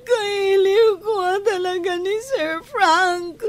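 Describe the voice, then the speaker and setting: A woman's voice: very high-pitched, slow, crowd noise. An actress in an Ilocano radio drama playing a young woman, speaking tearfully and pleadingly. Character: young woman Angie, heartbroken over her love.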